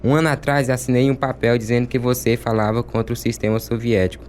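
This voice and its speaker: A man's voice: mid-range voice, level tone, quick pace, darkish tone, slight background noise, natural voice. A man acting a troubled, guilt-ridden prisoner confessing in a radio drama. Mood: remorseful, afraid. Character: guilt-ridden fellow prisoner turned informer. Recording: faint background ambience.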